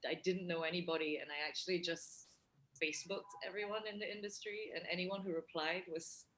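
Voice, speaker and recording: A woman film director on a video-call panel, her voice low-pitched yet bright and forward. She talks in a friendly, rambling way with plenty of fillers. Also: fairly even intonation, a little fast, rough recording. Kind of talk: casual panel talk.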